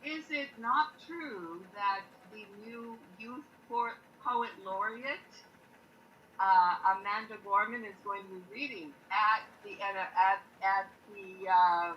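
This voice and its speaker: A woman's voice: medium pitch, slow, highs cut off. A woman chatting casually on a group video call, gushing and emphatic, often repeating her phrases for emphasis. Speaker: open mic participant. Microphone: video call microphone. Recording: noisy video call audio.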